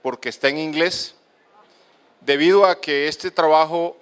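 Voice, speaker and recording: A middle-aged man from the Colombian Caribbean coast, with a coastal accent mixed with Medellín's, giving a halting lecture to an auditorium with many fillers. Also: fairly high pitch, steady tone, a bit bright, clear, resonant tone. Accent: Colombian, coastal mixed with Medellín paisa. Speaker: speaker at a lecture. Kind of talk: lecture to an audience.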